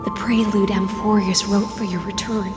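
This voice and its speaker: A woman voicing a mythic game heroine, tender, mournful and resolute, speaking lyrically and deliberately in a poetic monologue. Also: mid-range voice, fairly even intonation, natural voice. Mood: wistful. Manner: slow, lyrical, deliberate delivery. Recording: echoey long reverb under loud music.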